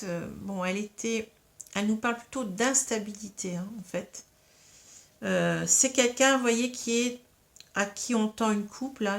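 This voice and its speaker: Woman with a cold, tarot reader, casual and halting. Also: mid-range voice, creaky. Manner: hesitant, many fillers and tag questions. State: has a cold. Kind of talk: casual tarot reading to camera.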